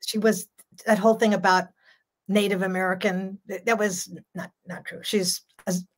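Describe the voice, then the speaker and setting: A woman's voice: medium pitch, little pitch movement, normal speed. Older woman, strong voice, rambling and laughing, home video call. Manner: chatty, laughs often, rambling. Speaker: jazz singer, guest. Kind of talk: casual video-call interview. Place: home room.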